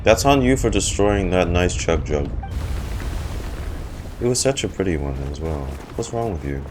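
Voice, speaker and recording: A man's voice: sits low, expressive, moderate pace, noisy background. A man chatting casually as he reacts to a game, muttering sweary, exasperated asides. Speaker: game streamer. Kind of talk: casual gameplay reaction chat.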